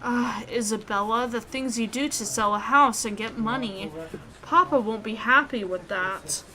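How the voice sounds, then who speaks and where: A woman reading a visual novel aloud in an expressive way, with reactions as a gaming YouTuber. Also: a little high, unhurried, poor audio.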